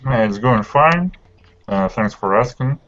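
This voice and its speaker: A man's voice: mid-range voice, expressive, a little slow, fairly bright tone, dull audio. A man, introducing himself in friendly, simple learner English on a video call. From Russia. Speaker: student.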